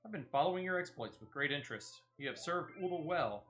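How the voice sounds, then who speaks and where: Man putting on a lofty, bright-voiced fantasy dignitary. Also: fairly high pitch, expressive, fast talker. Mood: approving, solemn. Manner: formal, lofty. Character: stately, approving dignitary granting passage in fantasy game. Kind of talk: video game quest dialogue read aloud.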